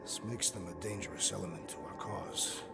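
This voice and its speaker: An actor playing a solemn, devout and menacing alien warrior in a video game, with a deep gravelly voice and grave, formal wording. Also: male voice, fairly quick, loud music behind the voice. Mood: quietly menacing. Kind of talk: video game cutscene acting.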